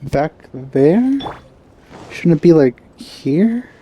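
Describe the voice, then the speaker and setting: A man's voice: on the high side, big pitch swings, extremely slow, mellow, slightly rough. A man giving casual let's play commentary in a musing, understated, tentative way.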